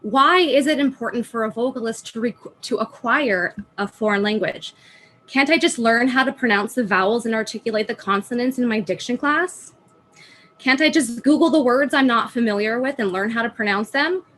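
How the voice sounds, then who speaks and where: A woman's voice: a little high, moderate pace, a bit bright, soft background music. A woman student presenting a prepared talk over a video call, telling a story and asking rhetorical questions. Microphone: video call microphone.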